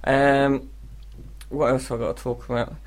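A man's voice: medium pitch, level tone, moderate pace. A British man co-hosting a radio comedy show, chatting casually in a rambling, irreverent, sardonic way. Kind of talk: casual comic chat.

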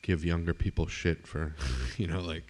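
A middle-aged man hosting a podcast, chatting casually with many fillers and trailing off quietly. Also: low voice, fairly even intonation, moderate pace. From eastern United States. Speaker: podcast host. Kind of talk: casual interview chat.

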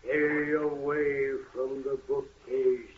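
An actor playing a mysterious, menacing old man in 1930s radio style, giving slow, drawn-out warnings. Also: mid-range voice, flat delivery, natural voice. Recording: muffled tinny 1930s radio recording.

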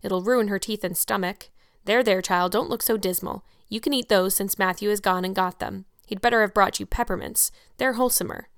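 Brief: woman reading as a brisk, scolding older woman, practical and dry-witted, close dry sound. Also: mid-range voice, a bit bright, no room sound, lightly acted.

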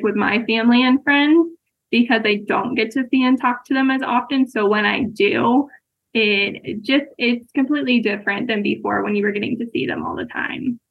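A woman guest in a casual interview, telling lively stories and acting out what people said. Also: on the high side, creaky, husky. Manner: lively storyteller who acts out quoted exchanges. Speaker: podcast guest, military spouse. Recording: tinny phone-like line.

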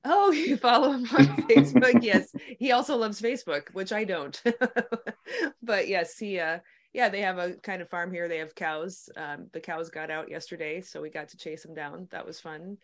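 Woman in her forties, chatty, quick asides, lowish voice. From New Jersey/New York, USA. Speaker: podcast host and guest. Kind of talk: casual conversation.

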